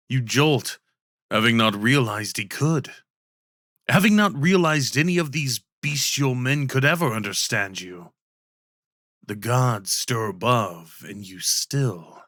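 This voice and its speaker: A man narrates a fantasy tale for an ASMR roleplay. His delivery is very unhurried and deliberate, at a medium pitch. The sound is dry, with no room around it. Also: fairly bright tone, no room sound.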